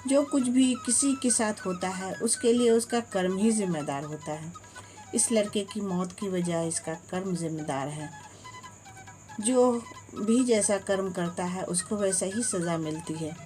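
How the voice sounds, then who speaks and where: A woman's voice: mid-range voice, measured pace, warm tone, close to an everyday voice. Lady reading time itself as a stern storybook judge, music underneath. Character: Time personified, stern male cosmic judge. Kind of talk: storybook narration.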